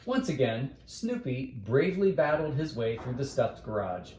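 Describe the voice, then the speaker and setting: A man's voice: mid-range voice, big pitch swings, moderate pace, a little mellow, a little hiss or hum. A man reading a bedtime story aloud as a storyteller, in a roomy, echoing recording.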